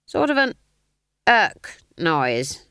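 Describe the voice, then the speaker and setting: A woman voicing a stern, suspicious elderly witch who speaks in sharp, demanding questions. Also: on the low side, big pitch swings, drawn-out words, clean tone, no room sound, clean studio sound, exaggerated voice.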